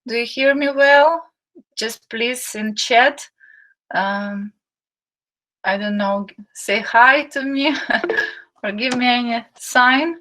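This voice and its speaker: Slow, bright-voiced woman hosting a webinar. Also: a little high, poor audio. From Ukraine. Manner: friendly, many fillers, reads chat aloud. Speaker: webinar host, marketing and sales manager. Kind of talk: live webinar hosting, chatting with viewers.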